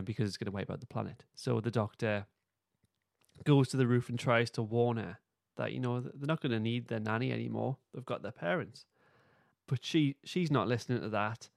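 A man's voice: medium pitch, vocal fry, no echo, clean studio sound. A man co-hosting a podcast, loosely and a little hesitantly recapping a story in casual chat. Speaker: podcast co-host.

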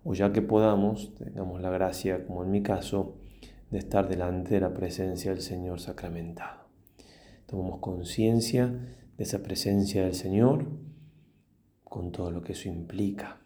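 A man, a priest leading a guided meditation and prayer in a slow, reverent manner with long pauses. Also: sits low, steady tone, dark tone.

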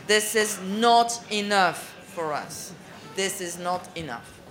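A woman's voice: medium pitch, takes it slowly, a loud crowd. Earnest woman searching for words. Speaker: panelist for a medical aid group. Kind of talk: panel Q&A answer.

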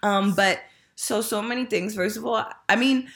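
A woman's voice: medium pitch, normal speed, fairly bright tone. A woman in her thirties hosting a casual podcast, talking frankly and laughing often, with lots of fillers. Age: 30s. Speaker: podcast host. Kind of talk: candid casual chat.

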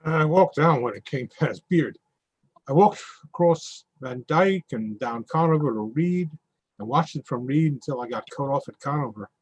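A man's voice: mid-range voice, lively intonation, moderate pace, slightly rough, dry recording, poor audio. A man reading a monologue, giving a detailed street-by-street account of watching a flood. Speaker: reader performing a monologue. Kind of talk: readers theatre monologue.

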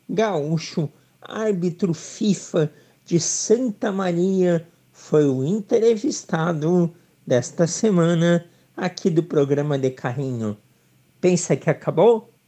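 A man's voice: on the high side, warm tone. A man hosting a sports radio show, giving upbeat, formal introductions and questions to his guests. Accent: southern Brazilian Portuguese.

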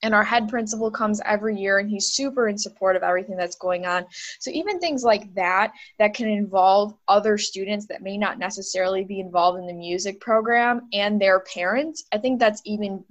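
A woman's voice: mid-range voice, fairly quick. A woman with a middle-range voice hosting a casual interview with a fellow teacher. She talks in long, supportive stretches, linking ideas one after another. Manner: encouraging, long run-on explanations.

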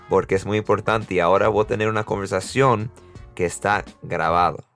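A man's voice: fairly low pitch, normal speed, creaky, soft background music. Laughing Dominican-American man hosting a podcast. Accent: Dominican and American-tinged Spanish. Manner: warm, laughs often, restarts words. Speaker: podcast host. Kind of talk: casual interview and outro.

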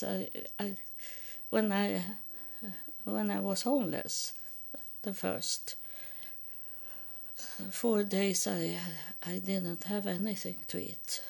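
A woman with a Swedish accent tells her story in English, slowly and haltingly, stopping often to search for words. Her voice has a gravelly, creaky edge at the end of phrases. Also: mid-range voice, darkish tone. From Sweden. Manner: halting, many fillers and restarts. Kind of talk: personal testimony.

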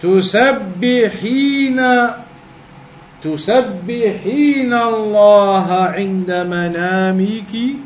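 Male religious instructor, high register, reciting and explaining in measured phrases; reverberant room recording with some background noise. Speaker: religious teacher giving a class. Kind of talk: religious lesson, reciting Arabic then translating and explaining.

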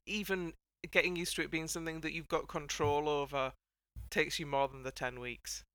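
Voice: mid-range voice, fairly even intonation, moderate pace, pushed and bright, clear, resonant tone, no room sound. A podcast host chatting casually on a panel, with a wry, wordy, mock-formal way of putting things. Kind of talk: casual panel chat about a TV dance show.

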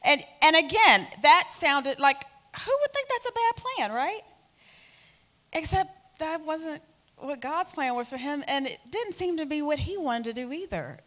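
A woman's voice: high-pitched, lively intonation, a little slow, a bit bright. A woman in her forties hosting a faith talk show, telling family stories earnestly and animatedly, with frequent fillers. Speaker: host.

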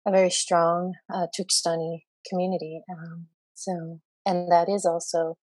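A woman guest on a panel talks in a somewhat low, even voice with little rise and fall. She hesitates often and restarts her phrases. The sound is dry and close. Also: moderate pace, no echo, rough recording. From grew up in Saudi Arabia and the US. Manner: hesitant, many fillers and restarts. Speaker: guest poet. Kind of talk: panel discussion.

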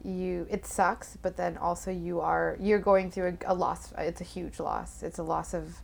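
Frank woman with gravelly voice. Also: lowish voice, little pitch movement, measured pace. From Canada. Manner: candid, frank, hesitant fillers. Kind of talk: casual conversation.

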